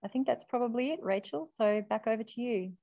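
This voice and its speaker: A woman's voice: on the high side, a little fast, vocal fry, warm tone, no room sound. A woman in her fifties with an Australian accent, facilitating a webinar in an encouraging, upbeat way. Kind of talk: chairing a webinar. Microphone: video call webcam. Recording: dry, dull webcam audio.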